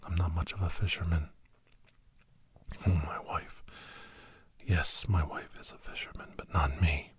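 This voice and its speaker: A man playing a weary, guilt-ridden older doctor and veteran hunter, whispering a slow, halting confession in a breathy, husky voice as an ASMR audio story. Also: low-pitched, steady tone, no room sound, exaggerated voice. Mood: quiet, wistful.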